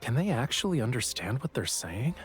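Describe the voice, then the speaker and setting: A man's voice: mid-range voice, big pitch swings, brisk, soft background music, lightly acted. An actor playing a young male love interest in a romance game, playful, casual and wry, with teasing delivery.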